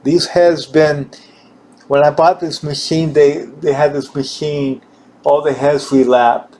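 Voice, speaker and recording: A man giving an informal hands-on equipment demonstration, halting and repeating himself as he searches for words. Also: on the high side, level tone, darkish tone. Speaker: gear demonstrator.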